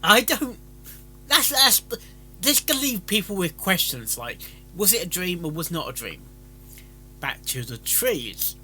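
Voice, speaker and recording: Bright, halting man, rambling casually. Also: high-pitched. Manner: halting, stammering, repeats words. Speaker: host of a fan fiction reading stream.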